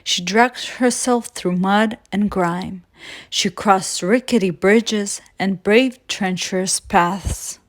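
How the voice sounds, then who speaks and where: A woman reading a storybook aloud for children with deliberate, dramatic phrasing. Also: mid-range voice. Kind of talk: expressive storybook read-aloud.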